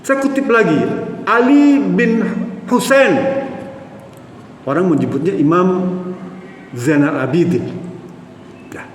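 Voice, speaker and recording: High-voiced preacher in an echoey hall. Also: male voice, lively intonation, slow, long pauses, a little hiss or hum. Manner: addresses the audience as "Pak", tells stories with acted quotes. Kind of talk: Islamic sermon storytelling. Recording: echoey hall with faint ambience.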